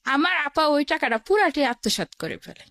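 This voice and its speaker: A woman's voice: high-pitched, very animated, moderate pace, a bit bright, no echo. A woman with a Bangladeshi accent telling her own life story as an interview guest, in plain colloquial words, step by step.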